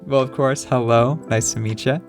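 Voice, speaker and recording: A man from the west coast of Canada hosting a casual interview podcast over a remote call, relaxed and encouraging. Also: mid-range voice, moderate pace, loud music behind the voice. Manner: relaxed, encouraging, lots of affirming murmurs. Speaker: podcast host.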